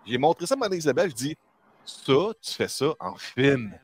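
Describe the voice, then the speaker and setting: A man's voice: mid-range voice, big pitch swings, moderate pace, breathy edge. A middle-aged man with a Québécois French accent, explaining things enthusiastically in a casual panel chat. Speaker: podcast panelist.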